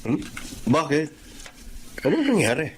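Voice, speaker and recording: A man's voice: fairly high pitch, wildly swooping pitch, measured pace, a little hiss or hum. A man playing a generous, friendly, well-off employer in a Tagalog radio drama, speaking sympathetically. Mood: concerned.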